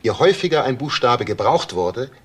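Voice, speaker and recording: Precise forensic expert, lively intonation. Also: male voice, mid-range voice, moderate pace, husky. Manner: formal, exact. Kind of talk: German TV crime drama acting.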